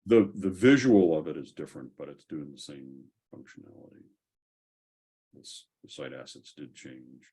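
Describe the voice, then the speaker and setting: A man hosting an online technical discussion, explaining things casually in a gravelly, husky voice. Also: rumbling low, lively intonation, moderate pace, warm tone. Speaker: host of a software community call. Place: online group call.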